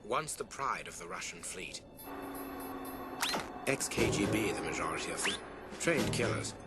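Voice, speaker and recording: An actor playing a grim, worried informant in a video game, speaking in a terse, ominous manner. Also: male voice, lowish voice, lively intonation, measured pace. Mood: grave concern. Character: grim, worried male informant on military and criminal threats. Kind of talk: video game cutscene acting. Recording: game audio under loud music.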